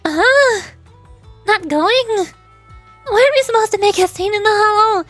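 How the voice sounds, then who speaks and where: A woman voicing an excitable, mischievous young firebug girl from a video game, bubbly and eager. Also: extremely high pitch, a little slow, dry recording, faint music behind, voice pushed up, exaggerated voice. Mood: excited, eager.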